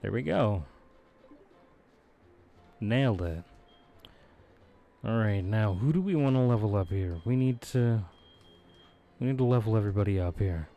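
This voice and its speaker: Male streamer, hoarse and low, unhurried and laid-back, faint game music under him. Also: expressive, highs cut off. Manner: laid-back, rambling, chuckles often. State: hoarse, losing his voice. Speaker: streamer playing a video game. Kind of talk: casual live-stream chat. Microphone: close desk microphone.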